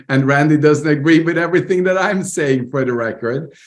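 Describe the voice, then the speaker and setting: A man's voice: fairly high pitch, normal speed. A man with a Norwegian accent, co-hosting a podcast and explaining his views earnestly, often laughing. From Norway. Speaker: podcast co-host. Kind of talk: casual conversation.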